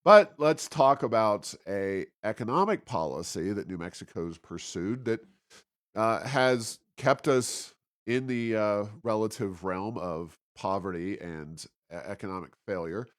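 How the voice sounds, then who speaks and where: A man's voice: on the low side, expressive, measured pace, no echo, studio quality. A man hosting a talk podcast, giving unscripted political and economic commentary in a discursive, argumentative manner.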